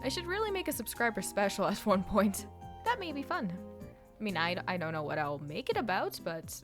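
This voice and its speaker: Lively young Canadian vlogger, Russian native. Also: mid-range voice, fairly quick, over music. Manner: animated, self-deprecating storytelling. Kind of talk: casual vlog narration.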